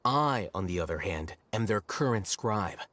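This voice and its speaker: Deep-voiced, deadpan scholar. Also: male voice, normal speed, no room sound. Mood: cool, self-assured. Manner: deadpan, precise. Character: coolly analytical, self-assured male scholar-scribe. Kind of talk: video game voice acting.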